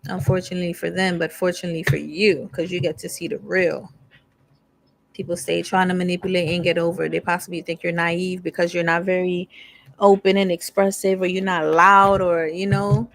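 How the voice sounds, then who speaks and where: A woman's voice: lowish voice, little pitch movement, fairly quick, vocal fry. A young woman giving a casual, candid tarot reading, reading card text aloud and then riffing in slangy asides. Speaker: tarot reader.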